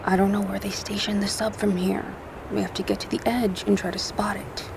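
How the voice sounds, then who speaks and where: A woman's voice: medium pitch, level tone, brisk, creaky, background noise, natural voice. A woman playing a tough, wounded fugitive. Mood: tense. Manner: tense, clipped, often whispering. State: in pain. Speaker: wounded survivor. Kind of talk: audio drama acting.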